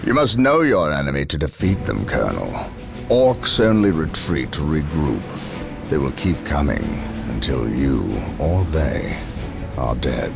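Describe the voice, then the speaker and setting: A low, creaky voice as a resolute space marine captain. He declaims with stern pride and wide swings of intonation. Loud game music runs underneath. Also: big pitch swings, unhurried, heavily acted. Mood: grave. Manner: commanding, declamatory. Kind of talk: dramatic video game cutscene acting.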